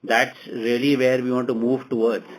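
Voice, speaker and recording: A man answering as a company executive on an earnings call in a measured, halting manner. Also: medium pitch, flat delivery, low-quality recording.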